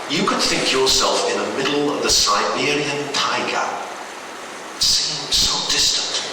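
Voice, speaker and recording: Guy reading a spooky story real slow, halting, super echoey and far from the mic. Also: medium pitch. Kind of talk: dramatic horror story reading in the first person. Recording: very echoey, distant, noisy hall recording.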